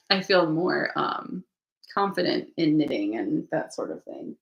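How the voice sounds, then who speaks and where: A woman with a lowish, gravelly voice chats casually about her craft plans, wandering off mid-thought and circling back. She sounds a little sniffly, talking from a room at home. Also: sing-song, slow, low-quality recording. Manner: rambling, self-interrupting, thinking aloud. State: sniffly from allergies. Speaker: knitting channel host. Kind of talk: casual livestream chat.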